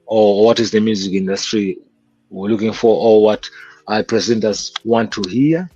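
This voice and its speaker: A man's voice: on the low side, moderate pace, warm tone, slightly rough, highs cut off. A man with a Kenyan accent, a guest musician telling stories from his childhood in an interview. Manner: reflective, storytelling. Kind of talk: interview conversation.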